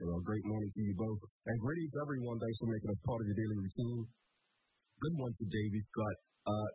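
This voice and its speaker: A man's voice: on the low side, a little mellow, breathy edge, poor audio. Stuffed-up man hosting a radio talk show. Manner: chatty, many fillers, addresses listeners as folks. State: stuffy nose from a cold. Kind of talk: casual talk radio monologue.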